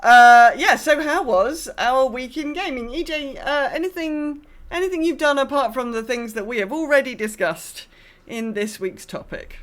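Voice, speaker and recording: A woman's voice: high voice, moderate pace, a bit bright. A woman co-hosting a gaming podcast in a casual, wry style with hesitant phrasing. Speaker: podcast co-host.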